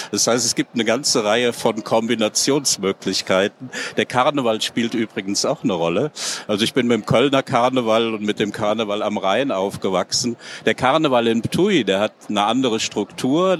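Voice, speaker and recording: A middle-aged German man with a lowish voice talks as a panel guest, measured and thoughtful, pausing with small hesitations. A faint hum of ambience sits behind him. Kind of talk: panel talk at a trade fair.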